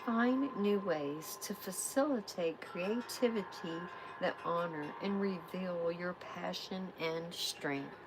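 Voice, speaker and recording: A woman hosting a live tarot reading, giving spiritual guidance in a soft, soothing way. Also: lowish voice, background noise. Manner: soft, unhurried, soothing affirmations.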